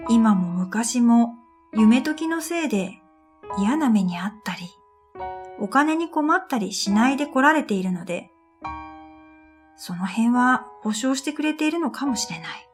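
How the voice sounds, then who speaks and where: A woman narrating a scripted first-person story for a radio drama in an introspective, matter-of-fact manner. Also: on the high side. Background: music.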